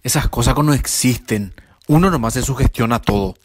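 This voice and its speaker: A man's voice: mid-range voice, moderate pace, noisy background, lightly acted. A man playing a laid-back, dismissive young university student in an audio drama, speaking casually with a Paraguayan accent.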